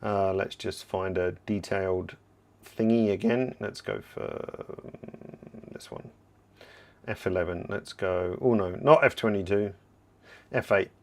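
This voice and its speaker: Slightly hoarse man teaching software live. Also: sits low, a little slow. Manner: relaxed, explanatory, thinking aloud while working. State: slightly hoarse, clearing throat. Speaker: software trainer hosting a livestream. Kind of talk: live software tutorial with viewer Q&A.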